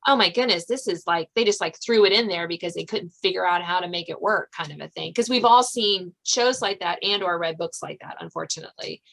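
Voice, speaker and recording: A woman's voice: fairly low pitch, brisk, vocal fry, hardly any pauses, a bit bright. A woman hosting a podcast in casual conversation, talking emphatically and expressively as she explains. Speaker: podcast host, suspense author. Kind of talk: casual interview chat.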